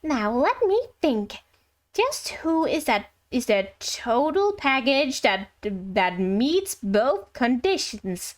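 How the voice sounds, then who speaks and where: A woman voicing a scheming, money-loving merchant making a showy, wheedling sales pitch from game text. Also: very animated, full character voice. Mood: eager, salesy. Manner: salesy, wheedling, drawn-out key words. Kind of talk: reading game letters aloud in character.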